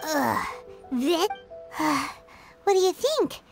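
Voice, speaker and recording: A woman voicing a tiny, excitable, childlike fairy companion in a video game, with a squeaky voice. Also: drawn-out words, vocal fry, heavily acted. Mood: reluctant, grumbling. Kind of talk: video game character acting. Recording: game music behind voice.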